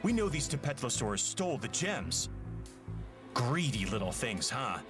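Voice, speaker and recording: Music runs under a man's animated voice. a sly frontier accuser in a video game. He is scornful and swings his pitch as he speaks. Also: a little slow. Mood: scornful, accusing. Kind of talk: video game character trailer acting.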